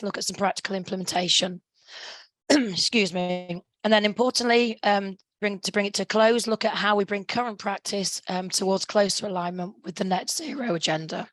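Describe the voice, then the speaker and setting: A woman in her fifties presenting a webinar lecture, croaky as she is losing her voice, speaking in a measured way with hesitations and throat clearing. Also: medium pitch, fairly bright tone, no echo, low-quality recording. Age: 50s.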